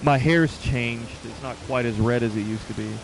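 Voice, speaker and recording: A man's voice: medium pitch, moderate pace, vocal fry, background noise. A man in his mid-thirties chatting casually with viewers as a video game livestreamer, in an informal, conversational way. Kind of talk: casual chat with stream viewers.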